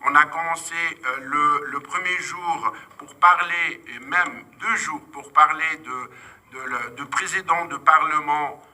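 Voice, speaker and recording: A man whose first language is Arabic gives closing remarks at a human rights side event, talking haltingly with many fillers and restarts. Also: fairly high pitch, pushed and bright, poor audio. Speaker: NGO vice president giving closing remarks. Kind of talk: conference speech. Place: UN committee room.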